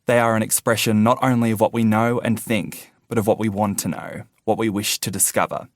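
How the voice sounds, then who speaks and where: A man's voice: fairly low pitch, steady tone, brisk, no echo, studio quality. A man presenting a podcast as host, in a scripted, read-out style.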